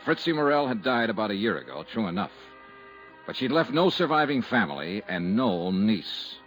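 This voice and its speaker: A man's voice: lowish voice, big pitch swings, moderate pace, a bit bright, poor audio. An actor playing a wry, hard-boiled investigator in 1950s radio drama, clipped and sardonic as he talks and narrates. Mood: tense narration. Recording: vintage radio recording with music.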